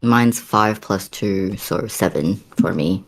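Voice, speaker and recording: A man's voice: fairly low pitch, slow pace. A man playing a tabletop game, trading casual banter with the group.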